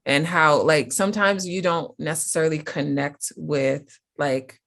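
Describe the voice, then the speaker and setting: An American woman hosts a relaxed conversation. She speaks at an unhurried pace, circling around her questions with many "like"s and "um"s before landing on them. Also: deep voice. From United States. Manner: rambling, heavy use of "like". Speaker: interviewer host. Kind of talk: casual interview chat.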